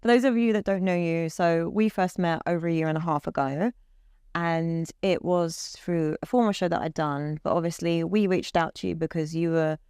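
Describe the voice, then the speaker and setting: British woman host, kinda low voice, giggly and chatty, super dry close sound. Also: fairly even intonation, moderate pace, a little mellow, smooth, dry recording. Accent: British English. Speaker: interview host. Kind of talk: lighthearted interview.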